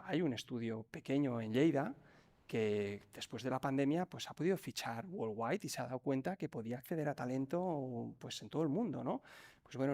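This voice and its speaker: A man's voice: mid-range voice, vocal fry, a little mellow. A man speaking as a panelist, reasoned, often tagging his sentences with a question. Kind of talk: panel discussion.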